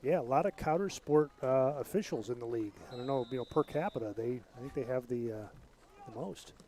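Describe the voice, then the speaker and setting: A soft-spoken man giving color commentary on a high school football game in a relaxed, conversational way. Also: fairly quick, a little hiss or hum.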